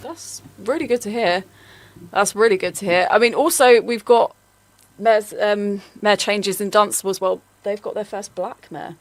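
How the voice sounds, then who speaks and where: A woman's voice: medium pitch, normal speed, no echo. A woman presenting a local radio show, talking in a chatty way with plenty of ums and likes. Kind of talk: casual radio chat.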